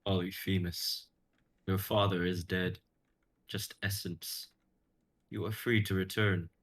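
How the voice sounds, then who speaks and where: An earnest, brotherly hero of myth, played by a man speaks in a low, husky voice. It is a dramatic script reading, delivered with compassion. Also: fairly even intonation, takes it slowly.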